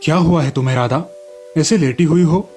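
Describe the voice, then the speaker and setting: A man's voice: a little high, fairly quick, darkish tone, faint music behind, natural voice. A man voicing a genial, indulgent village sweet-seller and father in a children's story cartoon. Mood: brisk.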